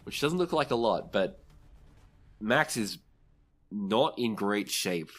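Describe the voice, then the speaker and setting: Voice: medium pitch, lively intonation, takes it slowly. A game master narrating a tabletop role-playing game, describing the action in vivid, dramatic detail.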